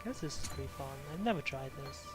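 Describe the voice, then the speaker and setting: A man from northern Vietnam gives casual, rambling, profane gameplay commentary as he streams, halting as he goes. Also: mid-range voice, expressive. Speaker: live streamer. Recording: game music behind the voice. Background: video game music.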